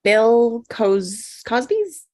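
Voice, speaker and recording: A woman's voice: a little high, sing-song, extremely slow, a little mellow, exaggerated voice. A woman reading the part of a flustered, self-deprecating woman in a live table read, natural and apologetic. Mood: shy, grateful.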